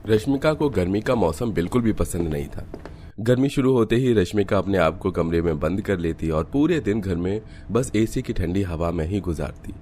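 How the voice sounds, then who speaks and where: Guy narrating a hindi cartoon story, really deep voice, scripted storyteller read. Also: lively intonation, moderate pace, a little mellow, slight background noise.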